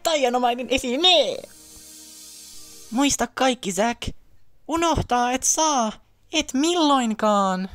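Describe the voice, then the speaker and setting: A wistful, mystical fairy speaks in a fairly high female voice acted by a woman. The delivery suits an amateur cartoon comedy dub, with faint music underneath. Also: animated, pauses often. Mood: wistful, solemn.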